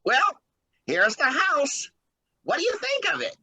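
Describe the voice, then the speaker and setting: An actor playing an eager young husband in an old-time radio drama, bubbly and stammering. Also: male voice, very high-pitched, wildly swooping pitch, a little slow, bright, forceful tone, no room sound, heavily acted. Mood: cheerful.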